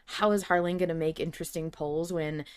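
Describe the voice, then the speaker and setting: A woman co-hosting a podcast, talking casually about a fandom in an animated, emphatic way and swearing freely. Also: sits low, a little fast, clear, resonant tone. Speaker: podcast co-host. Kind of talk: casual fan discussion.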